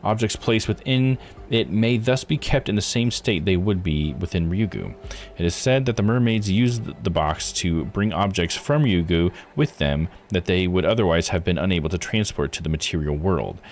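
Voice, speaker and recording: Man voicing a low, formal, calculating investigator. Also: expressive, moderate pace. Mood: explanatory. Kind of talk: visual novel dialogue read aloud. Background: music.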